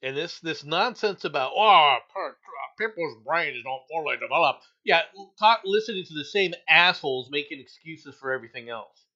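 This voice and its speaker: A man's voice: on the high side, lively intonation, moderate pace, bright, forceful tone, muffled recording. A male talk show host giving blunt, opinionated news commentary, repeating himself for emphasis.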